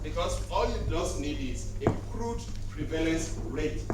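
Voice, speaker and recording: A man's voice: a little high, animated, a little slow, recorded from a distance, very noisy. Man speaking in an echoey hall. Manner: jokey storytelling, acting out quoted voices. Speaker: conference speaker. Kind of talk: conference talk with humorous anecdotes. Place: hotel conference hall. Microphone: handheld mic, later set down.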